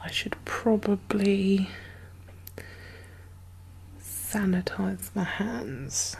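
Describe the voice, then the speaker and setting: A woman playing a gentle, chatty medic in a zombie apocalypse ASMR roleplay, speaking softly and hesitantly with a reassuring manner. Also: mid-range voice, expressive, extremely slow, creaky, slightly rough, heavily acted, rough recording. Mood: gentle concern. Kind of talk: soft-spoken, whispered ASMR roleplay.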